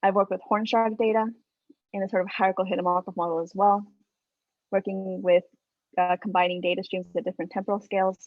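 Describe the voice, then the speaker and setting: A woman's voice: mid-range voice, no echo. Mexico-born woman prof giving a chill stats talk, lots of ums, tinny call audio. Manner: friendly, relaxed, with frequent ums and uhs. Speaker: statistics professor giving a research talk. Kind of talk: academic talk. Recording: dry, phone-like video call audio.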